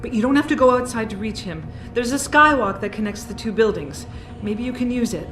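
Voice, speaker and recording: An actress playing an earnest, defensive female research scientist in a video game, articulate and measured. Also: on the high side, lightly acted. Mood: helpful, matter-of-fact. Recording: echoey room, music underneath.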